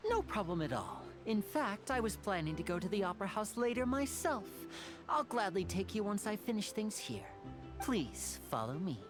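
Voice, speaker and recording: A woman voicing a courteous, playful, smooth-talking young man in a video game, with polite, measured phrasing. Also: mid-range voice, very animated, lightly acted. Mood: gracious. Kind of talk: scripted video game dialogue. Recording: faint music behind.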